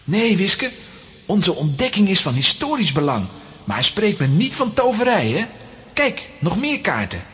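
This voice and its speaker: A woman's voice: medium pitch, sing-song, measured pace, a bit bright. An actress playing a sensible, protective and sceptical young boy with a Flemish accent in a children's audio drama.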